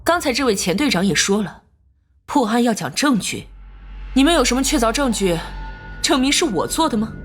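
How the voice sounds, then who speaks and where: A woman playing a haughty middle-aged school principal, a villain in an animated drama, condescending and coolly challenging. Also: on the high side, brisk, soft background music, natural voice. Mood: mocking, defiant.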